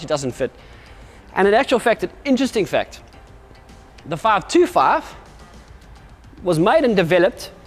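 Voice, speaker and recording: A man in his forties explaining the kayak range to camera in an indoor showroom, energetic and gesturing, with frequent restarts. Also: on the high side. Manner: energetic, gestures constantly while talking, many restarts. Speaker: kayak company representative. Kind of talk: explanatory product talk to camera. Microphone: camera at medium distance.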